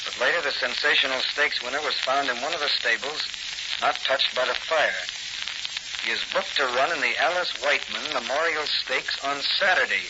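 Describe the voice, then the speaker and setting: An actor playing an earnest crime-fighting hero in an old-time radio serial. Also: male voice, medium pitch, brisk, close to an everyday voice. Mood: suspicious, determined. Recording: muffled, noisy old radio recording.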